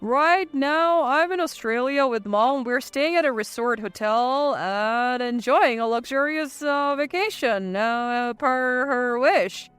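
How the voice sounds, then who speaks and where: A woman's voice: high voice, slow, hardly any pauses, fairly bright tone, smooth, no room sound, faint music behind. A woman playing a callous, petulant husband and mama's boy in a narrated story, dismissive and self-satisfied. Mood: flippant.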